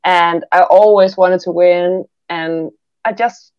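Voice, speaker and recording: A woman from Denmark, English as her second language, speaks in a lowish voice with a Danish accent. She talks reflectively, often restarting her thoughts. The sound is dry and a little dull, as over a remote call. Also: fairly even intonation, takes it slowly, clear, resonant tone, dry recording. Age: 30s. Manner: reflective, with frequent restarts and laughs. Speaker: guest, former Olympic swimmer. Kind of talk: casual interview conversation.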